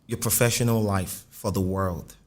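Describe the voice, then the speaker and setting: A man's voice: on the low side, fairly even intonation, moderate pace. A man with a Nigerian accent conducting a conversational interview, putting and rephrasing probing questions. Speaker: interviewer, music journalist.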